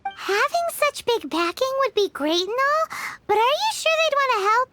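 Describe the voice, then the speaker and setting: Tiny bouncy game fairy, very high and lively, blunt and teasing. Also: female voice, normal speed, background noise. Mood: doubtful. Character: tiny floating female fairy companion, cheeky, blunt. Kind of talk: video game voice acting.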